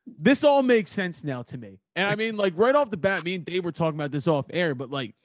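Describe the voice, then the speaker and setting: A man's voice: high voice, expressive, fairly quick, no echo, clean studio sound. A man hosting a podcast in casual, profane, slangy chat. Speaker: podcast host.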